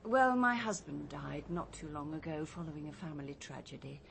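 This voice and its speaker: Low-voiced older English widow. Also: female voice, normal speed, creaky, fairly bright tone, a little hiss or hum. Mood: restrained, earnest. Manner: restrained, courteous. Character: reserved, polite bereaved older widow seeking work. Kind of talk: radio drama acting.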